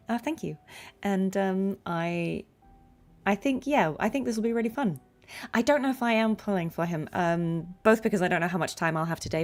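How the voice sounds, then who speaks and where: A woman's voice: lowish voice, creaky. A woman game streamer chatting informally and musing aloud to her live viewers. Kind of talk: casual live stream chat.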